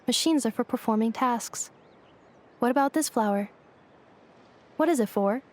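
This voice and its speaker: A woman's voice: a little high, normal speed, natural voice. A woman playing a polite, literal-minded female robot in a video game, speaking in formal, stilted phrases. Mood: formal, earnest, curious.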